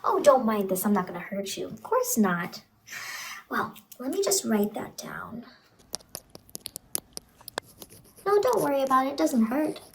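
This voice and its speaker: Woman playing a high-voiced, coaxing, sinister clown. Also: big pitch swings, normal speed, creaky, slight background noise, heavily acted, poor audio. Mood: coaxing, eerie. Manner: soft, cajoling, falsely reassuring. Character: sinister, coaxing female ice cream truck clown. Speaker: ASMR performer. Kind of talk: ASMR horror roleplay. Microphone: close ASMR microphone.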